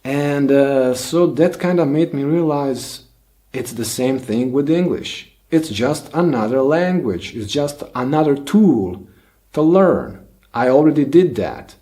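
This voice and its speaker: A man whose first language is Bosnian, talking slowly and thoughtfully to his channel's viewers, with many pauses and restarts. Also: medium pitch, lively intonation, dark tone. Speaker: channel host. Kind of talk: reflective solo monologue.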